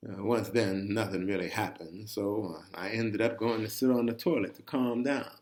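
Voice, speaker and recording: Man telling a folksy, animated personal story. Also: fairly low pitch, moderate pace. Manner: animated, folksy, with asides to the listener. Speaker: reader of a personal chronicle. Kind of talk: conversational first-person storytelling.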